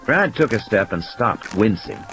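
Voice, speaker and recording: A man's voice: fairly low pitch, big pitch swings, a little slow, a bit bright. A man reading scripted fiction as an audiobook narrator, in a dramatic storytelling style, with music and sound effects behind him.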